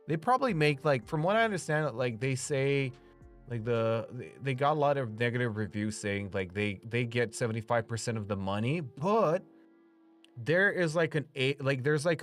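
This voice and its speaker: A man's voice: medium pitch, fairly bright tone. Rambling man, slight Romanian accent. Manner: rambling, heavy filler "like", swears freely. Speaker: streamer and voice actor. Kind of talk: casual chat with stream viewers.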